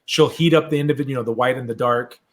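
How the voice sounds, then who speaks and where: An excitable man hosts a relaxed chat among hobby friends. He reacts quickly and speaks with a mid-range voice. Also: medium pitch. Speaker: host. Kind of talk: casual chat about custom action figures.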